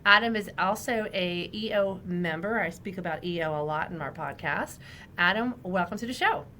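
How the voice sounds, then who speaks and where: A woman's voice: on the low side, moderate pace, pushed and bright, slight background noise. A woman hosting a business podcast, introducing her guest and asking upbeat, friendly questions. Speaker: podcast host. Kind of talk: interview hosting.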